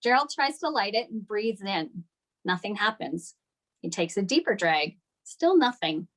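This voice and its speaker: A woman's voice: medium pitch, animated, a little slow. Woman reading screenplay stage directions. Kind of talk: scripted narration at a table read.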